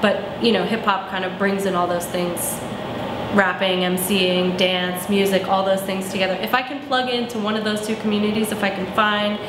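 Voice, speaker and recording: A thoughtful young woman speaking in an interview, pausing to find her words. Her voice sounds roomy and echoey, with steady background noise in the recording. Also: mid-range voice. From Arizona, USA. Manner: thoughtful, searching for words with many ums. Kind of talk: reflective personal interview.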